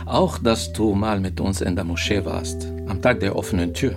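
Grave, sorrowful relative, music behind. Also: male voice, sits low, moderate pace. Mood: fond, wistful. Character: sorrowful, resigned relative of a troubled youth. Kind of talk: naturalistic radio drama acting.

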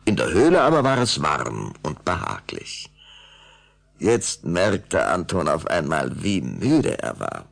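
Deep, gravelly male storyteller. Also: wildly swooping pitch, slow pace, a bit bright. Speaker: narrator. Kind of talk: scripted children's audio drama narration in German.

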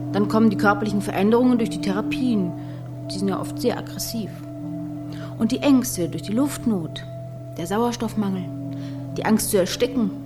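An actress playing a chatty, pragmatic female nurse in a radio play, talking candidly and a little haltingly. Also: a little high, natural voice. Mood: reflective.

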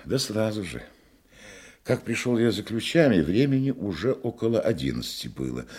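An actor playing a talkative, cultured provincial hotel guest in a Russian radio play, exclamatory and slightly pompous. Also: male voice, fairly low pitch, very animated, normal speed, darkish tone, slightly rough. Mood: earnestly explaining.

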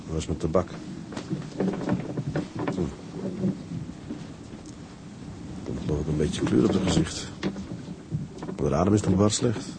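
An actor playing a gruff but kindly seaman in a radio drama, talking in a homely, muttering way. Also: low-pitched, moderate pace, heavy background noise. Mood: grumbling. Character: gruff, kindly seaman tending a rescued castaway.

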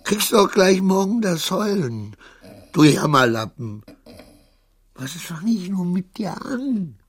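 A man's voice: sits high, big pitch swings, slow, slightly rough, exaggerated voice. A man in a radio drama playing an anxious, self-berating petty clerk who mutters to himself and swings into outbursts. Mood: self-mocking.

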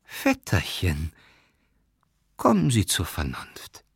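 Guy doing a wrinkly sickly cousin in an audiobook, husky, polite, stubborn, kinda preachy. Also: mid-range voice, animated, a little slow, no room sound, clean studio sound. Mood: calm pleading. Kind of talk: audiobook character acting.

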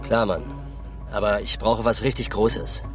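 An actor playing a cocky, impatient small-time crook in a radio crime drama, streetwise and insistent. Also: male voice, on the low side, flat delivery, a little slow, music in the background, natural voice. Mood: cool, guarded.

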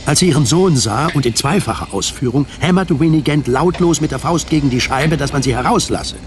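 A man narrating a scripted radio drama in a measured, precise storytelling manner. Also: a little high, animated, noisy background. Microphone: studio microphone. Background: music.

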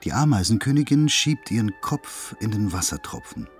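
Drama narrator: a precise, observant man, lowish voice with lively melody, soft music behind. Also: normal speed. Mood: intent, observant. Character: male storyteller, precise, observant. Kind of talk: scripted radio drama narration.

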